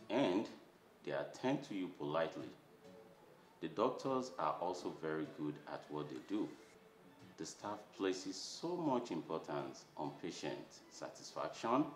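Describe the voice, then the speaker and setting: A young man giving a scripted patient testimonial. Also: low voice. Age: young adult. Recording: roomy echo, faint music.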